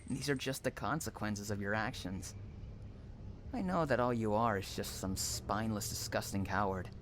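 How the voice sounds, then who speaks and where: A man playing an obsessive, unhinged stalker and kidnapper in an intimate audio roleplay, taunting and swinging between soft coaxing and menace. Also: on the low side, moderate pace, a little hiss or hum, natural voice. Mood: contemptuous.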